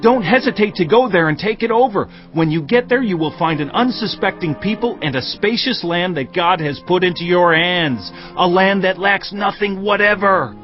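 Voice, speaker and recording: A man playing a bold, urgent Danite warrior scout in a dramatised audio Bible, with a forceful, commanding delivery. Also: sits high, normal speed, hardly any pauses, lo-fi sound, soft background music, lightly acted. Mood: urgent, rousing.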